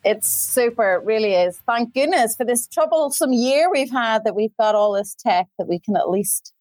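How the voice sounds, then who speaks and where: A woman's voice: a little high, expressive, moderate pace, a little mellow, no room sound. A woman in her forties from Belfast with a Belfast accent, chatting at length as a podcast guest over a video call from home. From Northern Ireland. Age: 40s. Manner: chatty, talks at length with asides. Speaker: guest, tour company founder. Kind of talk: casual conversation.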